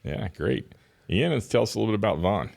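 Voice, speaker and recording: A man hosting a podcast, who introduces his guests and asks friendly questions at a brisk pace. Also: lowish voice, lively intonation, creaky, darkish tone, dry recording, studio quality. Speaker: podcast host.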